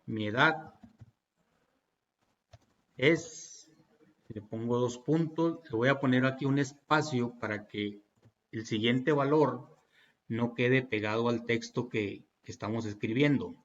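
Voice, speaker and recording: A man's voice: mid-range voice, slow, pauses often, muffled recording. A man teaching programming in a tutorial, walking through each step patiently with fillers and self-corrections. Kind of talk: screen-recorded tutorial walkthrough.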